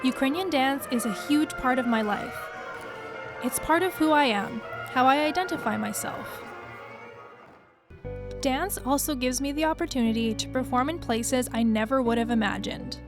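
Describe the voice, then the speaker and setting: A young woman from Canada, a dancer, reading scripted narration in a smooth, even way. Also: sits high, moderate pace. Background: music.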